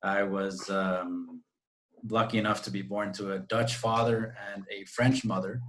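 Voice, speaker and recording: A man's voice: fairly low pitch, steady tone, a little slow, fairly bright tone, a little hiss or hum. A man from Costa Rica, a real estate agent, talking at length in a friendly way on a video call interview. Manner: friendly, talkative, explains at length. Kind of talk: interview answers.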